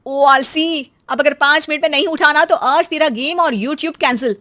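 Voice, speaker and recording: A woman voicing an exasperated, sharp-tongued Indian housewife and mother in a cartoon, nagging with sarcasm. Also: sits high, normal speed, voice pushed up.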